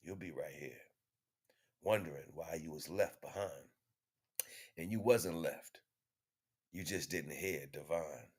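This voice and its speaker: A man delivering an impromptu spiritual monologue in an emphatic, repetitive, slangy street-preacher manner, with a husky voice. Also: rumbling low, measured pace, long pauses, darkish tone. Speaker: spiritual teacher streaming online.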